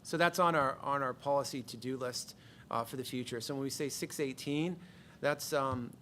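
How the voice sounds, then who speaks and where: A man's voice: mid-range voice, normal speed, pushed and bright, a little hiss or hum. A man presenting a handbook and policy plan to a school board, earnest and hesitant, with many fillers and restarts. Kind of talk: meeting presentation.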